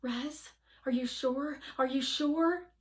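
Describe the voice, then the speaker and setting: A woman's voice: sits high, steady tone, slow, pitched above the performer's own voice. A woman voicing a small, eager, anxious drone who is a loyal friend in a children's storybook.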